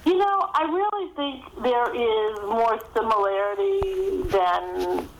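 A woman's voice: fairly high pitch, big pitch swings, slow, vocal fry, poor audio. A middle-aged woman talking conversationally as an author in an interview, chatty and anecdotal, often saying "you know".

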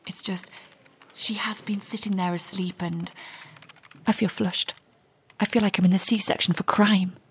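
An actress playing a restless, curious young woman in an audio drama monologue, confiding and wry, with a hushed, husky voice. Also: mid-range voice, steady tone, normal speed, pauses often, slight background noise, close to an everyday voice. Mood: concerned, flustered.

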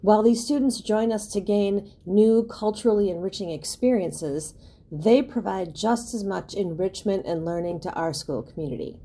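A woman's voice: mid-range voice, moderate pace, dark tone. A woman hosting an interview podcast as a school superintendent. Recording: roomy, distant mic, faint noise.

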